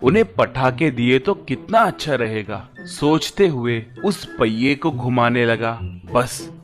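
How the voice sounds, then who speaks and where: A man's voice: medium pitch, lively intonation, takes it slowly, soft background music. A man narrating a scripted moral story as a storyteller.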